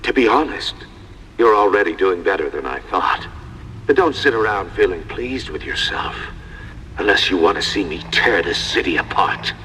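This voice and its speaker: A low, menacing male villain from a video game. He taunts theatrically with a sharp edge and big swings in pitch, ringing out in a long reverb. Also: lively intonation, moderate pace. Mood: menacing taunting. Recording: long reverb, faint noise.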